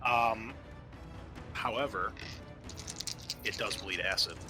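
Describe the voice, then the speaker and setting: A man's voice: lowish voice, slow pace, over music. A man acting as game master for a tabletop roleplaying game, explaining rules and narrating the action casually and with animation. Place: group game session.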